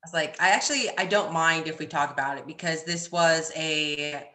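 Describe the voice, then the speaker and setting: A woman's voice: deep voice, moderate pace, pushed and bright. A woman with an American accent speaking as a podcast guest in casual, candid conversation, with a roomy, echoey sound. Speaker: podcast guest, army staff sergeant.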